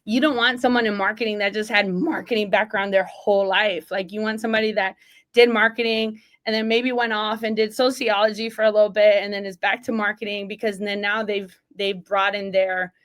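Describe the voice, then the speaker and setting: A woman's voice: medium pitch, steady tone, fairly quick, a bit bright. Earnest woman from Mexico, emphatic. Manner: earnest and emphatic, leans on "like" and "right?"; single mom. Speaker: associate athletic director, guest. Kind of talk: casual interview talk.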